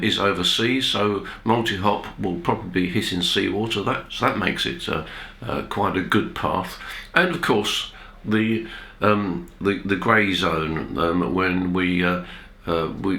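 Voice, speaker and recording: A man presenting an informal technical explainer as a video host, speaking in a measured, hesitant way with pauses. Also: sits low, pushed and bright. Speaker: amateur radio video host. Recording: roomy, echoey indoor sound.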